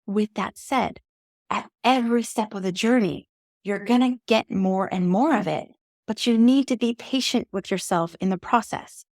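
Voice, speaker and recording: Woman podcast host, mid-pitched, earnest and encouraging, close dry mic. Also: normal speed, darkish tone, dry recording. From native English speaker. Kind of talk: reflective teaching monologue.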